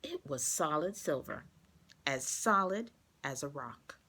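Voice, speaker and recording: A woman reading a children's storybook aloud, expressive and carefully paced for young listeners. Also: low-pitched, takes it slowly, a little hiss or hum.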